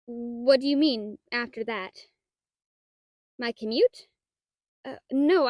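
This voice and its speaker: A woman's voice: high-pitched, no room sound, clean studio sound. A woman playing a shy, nerdy half-dragon librarian in a roleplay audio drama, talking in a hesitant, flustered, stammering way. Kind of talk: roleplay audio drama, one-sided dialogue.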